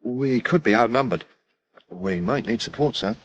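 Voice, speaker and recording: An actor playing an earnest, worried police detective in a radio crime drama, cautious but insistent, sometimes hesitant. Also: male voice, mid-range voice, normal speed, a little hiss or hum, close to an everyday voice, rough recording.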